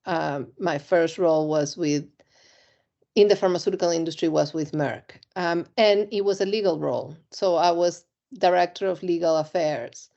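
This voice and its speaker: A middle-aged woman from Argentina answers interview questions in a low voice. She is measured and reflective, pausing on ums and restarting phrases. The recording sounds dull and muffled. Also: gravelly, dark tone. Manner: measured, reflective, with frequent ums and repeated words. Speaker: compliance executive, interview guest. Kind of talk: career interview.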